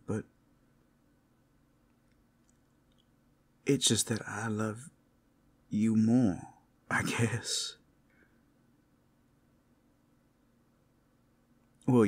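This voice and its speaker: A man playing a tender, protective werewolf lover in an intimate ASMR roleplay, speaking in halting, heartfelt phrases full of endearments. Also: on the low side. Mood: tender, earnest. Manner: soft endearments, halting heartfelt delivery, teasing asides. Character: tender, devoted, protective male werewolf lover working at a brothel.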